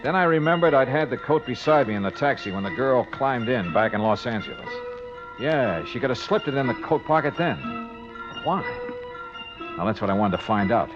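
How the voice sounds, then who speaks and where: An American actor playing a wry, cool-headed hardboiled investigator in a 1950s radio drama, with clipped, slangy delivery. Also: male voice, medium pitch, animated, a little fast, lightly acted. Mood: wry narrating. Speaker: lead actor and narrator. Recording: dull 1950s radio recording, music behind.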